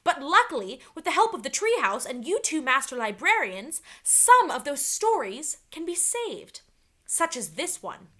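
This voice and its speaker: A woman voicing a wise enchantress in a children's storybook, giving instructions in a formal, deliberate, commanding way. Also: sits high, sing-song, a bit bright, exaggerated voice. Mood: solemn.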